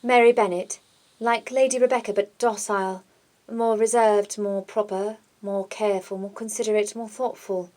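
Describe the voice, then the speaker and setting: A woman's voice: mid-range voice, fairly even intonation, normal speed, darkish tone, close to an everyday voice. A woman voicing a docile, proper young British lady for an audiobook, careful and reserved.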